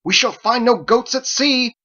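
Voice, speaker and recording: A man's voice: very high voice, measured pace, sharp tone, dull audio, pitched above the performer's own voice, heavily acted. A man voicing a stern, commanding woman, a ship's first mate, with clipped, formal speech for an audiobook. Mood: frustrated shouting. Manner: clipped, formal, declaiming like in court.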